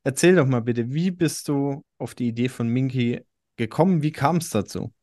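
A man's voice: medium pitch, normal speed, darkish tone, no room sound. Man hosting, dry close recording. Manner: enthusiastic, conversational. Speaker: podcast host. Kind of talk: casual interview talk.

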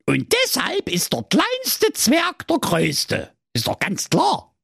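A man voicing an old female oracle turtle with a Saxon accent, explaining things slowly and patiently. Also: high-pitched, huge pitch swings, creaky, fairly bright tone, slightly rough, no echo, studio quality, voice pushed up, heavily acted. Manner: explains with slow patient emphasis.

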